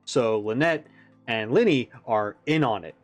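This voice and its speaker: Male reaction host, mid-pitched, animated and musing, casual analysis with music underneath. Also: unhurried. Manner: animated, musing, thinking aloud. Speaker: reaction video host, game fan.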